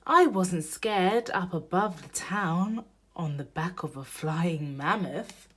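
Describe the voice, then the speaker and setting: A woman reading a children's picture book aloud in an expressive storytelling manner meant for young listeners. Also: on the low side, slow pace. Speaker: storybook reader.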